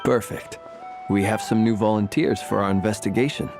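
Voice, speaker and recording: An actor playing a composed, enigmatic gentleman warrior in a video game, formal and precise. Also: lowish voice, steady tone, normal speed, vocal fry. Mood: pleased. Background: music.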